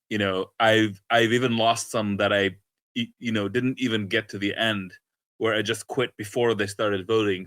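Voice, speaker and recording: Halting, sardonic man on a podcast. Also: lowish voice, fairly bright tone, no echo. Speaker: podcast co-host. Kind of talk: casual political commentary.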